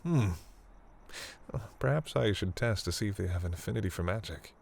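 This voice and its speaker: A man's voice: fairly low pitch, animated, moderate pace, vocal fry, very noisy. A man playing a proud but secretly tender vampire lord in an intimate, theatrical audio roleplay. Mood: brooding, musing. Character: proud male vampire lord, flustered, secretly tender toward human lover.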